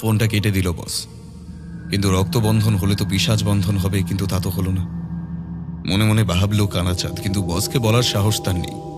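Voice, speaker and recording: A male storyteller narrates scripted horror fiction with dramatic delivery. His voice is fairly low, and music plays clearly behind him. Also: fairly even intonation, normal speed.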